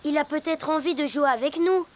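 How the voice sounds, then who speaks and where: A woman's voice: sits high, fairly quick, very noisy, natural voice. A woman voicing a naive, good-hearted young boy hippo in a children's cartoon, childlike and earnest, with music behind.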